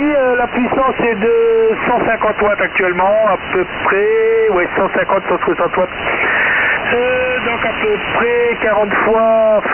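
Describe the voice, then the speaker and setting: A man from Saint Pierre and Miquelon, an amateur radio operator, chatting casually over a two-way radio with frequent tag words. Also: high-pitched. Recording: noisy, narrowband shortwave radio transmission.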